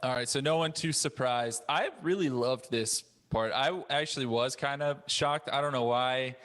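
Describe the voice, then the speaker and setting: Male panel host, mid-pitched, measured and articulate. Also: a bit bright. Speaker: podcast host. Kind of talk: panel discussion.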